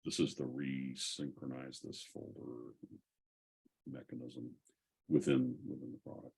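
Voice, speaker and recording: A man hosting an online technical discussion, explaining things casually in a gravelly, husky voice. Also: extremely low pitch, flat delivery, unhurried, darkish tone. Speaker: host of a software community call. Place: online group call.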